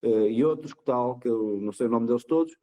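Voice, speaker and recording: A man's voice: mid-range voice, fairly quick, dark tone. A man from Portugal talking in a casual podcast chat, with restarts and repeated words.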